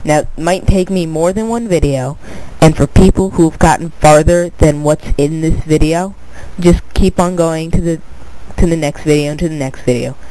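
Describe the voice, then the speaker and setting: A man's voice: a little high, normal speed, mellow, poor audio. Stuffed-up young man rambling through a tutorial. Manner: rambling, repeats "but anyway", restarts words. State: stuffed-up nose from a cold. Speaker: tutorial video maker. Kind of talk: casual screen-recorded tutorial.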